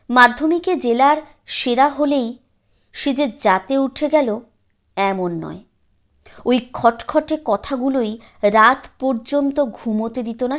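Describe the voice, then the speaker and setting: A woman narrating a literary story in a measured, expressive way with dramatic pauses. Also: on the high side.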